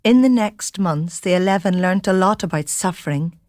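Peter's wife tells the story, voiced by an actress in a radio play. She narrates calmly in a measured first person. Her voice is lowish and dry, recorded close. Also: dry recording, lightly acted. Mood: reflective. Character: Peter's wife, a woman recounting events.

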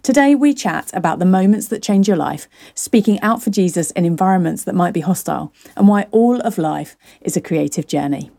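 A woman hosts a studio interview, her voice lowish and mellow. She reads a set introduction, then asks her guests questions in a relaxed way. The room sounds dry. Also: brisk, gravelly, hardly any pauses, no room sound. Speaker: podcast host. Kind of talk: interview hosting.

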